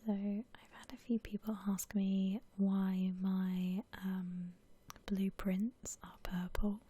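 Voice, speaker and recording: A woman whispering softly in a breathy, gentle, unhurried way, musing aloud as she gives ASMR commentary on a game. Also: medium pitch, level tone, a little hiss or hum. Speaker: host of a gaming ASMR channel.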